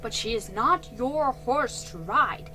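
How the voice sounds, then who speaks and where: Woman reading as a calm, firm father. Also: a little high, slow, exaggerated voice, low-quality recording. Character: calm, firm father, middle-aged man. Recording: faint music behind.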